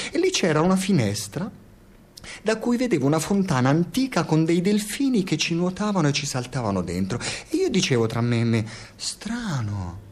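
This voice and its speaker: Rambling, theatrical older man, very animated. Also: a little high. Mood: animated storytelling. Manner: rambling, theatrical, self-satisfied storyteller with hesitations. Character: talkative, self-absorbed older man, a client of young men. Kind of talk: radio drama acting.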